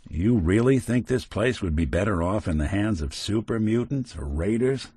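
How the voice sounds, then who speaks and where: An actor voicing a world-weary, principled robot detective in a video game, with an American accent and a wry, hard-boiled, old-time private eye manner. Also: male voice, lowish voice, lively intonation, normal speed, warm tone, breathy edge, dry recording, clean studio sound. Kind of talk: video game character acting.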